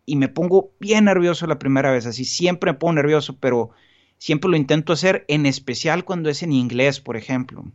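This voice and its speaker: A man's voice: on the high side, a little fast, lo-fi sound. A man in casual podcast conversation as a guest, talking earnestly and rambling with frequent restarts and fillers. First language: Spanish.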